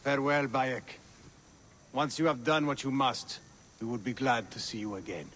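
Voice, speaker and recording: A male actor plays a bereaved Egyptian father in a video game drama. His voice sits in a middle register, grave and weary, with formal, measured phrasing. Faint noise runs behind him. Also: mid-range voice, natural voice. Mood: weary, mournful. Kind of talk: dramatic game cutscene acting.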